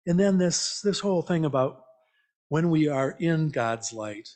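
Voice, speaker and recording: A man giving an informal, interactive church message in a conversational, reflective, halting way, often checking in with questions. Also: a little high, lively intonation, darkish tone. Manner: invites listeners with questions. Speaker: lay church speaker.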